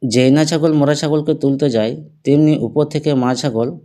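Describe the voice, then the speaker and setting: A man's voice: fairly high pitch, fairly even intonation, normal speed, background noise. A man narrating a scripted cartoon fable as the storyteller, with music behind him.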